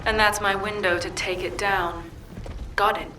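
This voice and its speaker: A woman's voice: mid-range voice, fairly even intonation, normal speed, roomy sound, close to an everyday voice. An actress playing a determined, defiant female soldier in a sci-fi video game, with a terse, resolute delivery. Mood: businesslike. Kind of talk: dramatic video game voice acting. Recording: distant voice under clear music.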